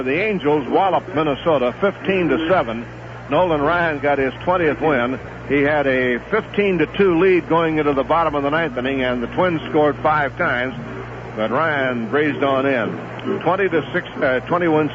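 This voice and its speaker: A man on a 1970s baseball radio broadcast briskly reading out other scores and correcting himself in passing before handing back to the game. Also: fairly high pitch, muffled recording, noisy background. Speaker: radio sports announcer.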